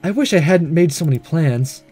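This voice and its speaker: A man's voice: a little high, expressive, normal speed, dark tone, husky. Man reading as a coy, flirty female teacher. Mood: coy, inviting. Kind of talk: visual novel read-aloud. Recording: faint music behind.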